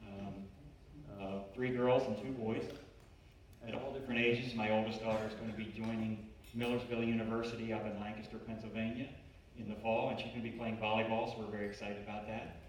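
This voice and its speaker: Friendly man, 40s, hesitant, low voice; roomy and distant. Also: little pitch movement, moderate pace. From Baltimore, USA. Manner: friendly, hesitant, many fillers. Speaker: finance presenter. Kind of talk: casual self-introduction. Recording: echoey room, distant mic, faint noise.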